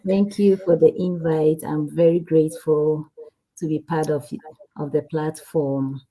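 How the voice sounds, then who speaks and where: A woman from Ghana, a guest on an online interview, speaking in a relaxed way, often laughing and repeating herself. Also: deep voice, measured pace, rough recording. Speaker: guest, cardiac sonographer. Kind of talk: casual interview.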